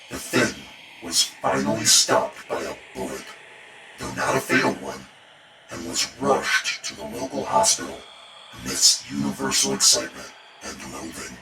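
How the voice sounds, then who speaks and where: Male horror narrator, extremely low register, dramatic and ominous delivery, set back from the mic in a roomy echo. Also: noisy background. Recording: roomy echo, distant, music behind. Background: music bed.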